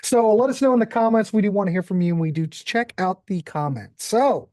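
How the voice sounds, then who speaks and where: A man's voice: sits high, fairly quick, dark tone, no echo. An upbeat man hosts a loose music talk show and keeps the conversation moving. The recording is dry and close with no room sound. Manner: upbeat, chatty, hands off to guests. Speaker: host. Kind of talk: casual podcast hosting.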